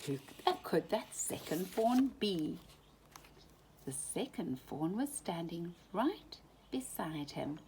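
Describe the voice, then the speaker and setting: A woman reading a children's story aloud in an animated, dramatic way, often dropping into hushed tones. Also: fairly low pitch, takes it slowly, a little hiss or hum. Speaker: storybook reader.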